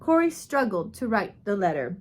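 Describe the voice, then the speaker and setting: A woman reading a biography aloud as scripted narration, in measured phrases like an audiobook reader. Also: mid-range voice, very animated.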